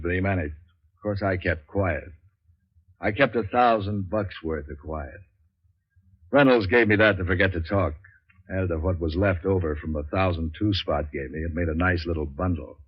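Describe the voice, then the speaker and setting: A deep-voiced, hardboiled man in an old radio crime show. He is cynical and deadpan, dropping wisecracks tersely. The sound is muffled, lo-fi 1940s broadcast. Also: husky. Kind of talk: 1940s radio crime drama acting and narration. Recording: dull lo-fi 1940s radio recording.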